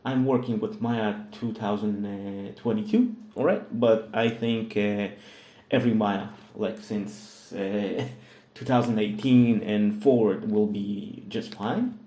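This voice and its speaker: A man with a Russian accent explains a software setup as a tutorial host. His voice sits fairly low and sounds mellow. He pauses on fillers and keeps checking that the viewer is following. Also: steady tone. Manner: hesitant, many "uh" fillers and "all right?" checks. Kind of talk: screen-recorded software tutorial. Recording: echoey room.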